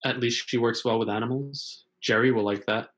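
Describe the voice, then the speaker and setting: A man's voice: medium pitch, fairly even intonation, normal speed, a bit bright. A man speaks as a show's co-host and creator. His delivery is conversational, moving between banter and a read-through of script directions.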